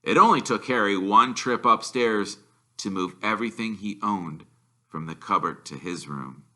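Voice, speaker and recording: A man reading a children's novel aloud as narrator, expressive and deliberate in his storytelling. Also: on the low side. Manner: animated, deliberate. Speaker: reader, storyteller.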